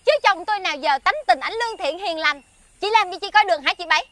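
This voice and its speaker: A woman playing an indignant, suspicious village wife in a theatrical folk comedy, sharp and accusing. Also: very high voice, expressive, fast. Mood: scolding.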